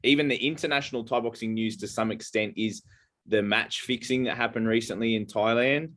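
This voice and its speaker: A man co-hosting a casual podcast, telling stories in a rambling, halting way with many fillers. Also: medium pitch, a bit bright. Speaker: podcast co-host. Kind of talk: casual chat.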